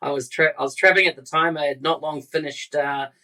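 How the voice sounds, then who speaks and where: A man's voice: on the high side, fairly even intonation, fast talker, sharp tone. A man hosting a podcast, introducing a guest and chatting in a friendly, conversational way. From New Zealand. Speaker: podcast host.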